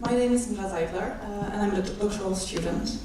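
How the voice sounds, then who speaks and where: A young woman with a Polish accent giving a measured rally speech with wry, self-deprecating asides. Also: medium pitch, far from the mic, background noise. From Poland. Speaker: guest speaker, doctoral student. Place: large indoor hall at a rally. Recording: echoey hall, mic from afar.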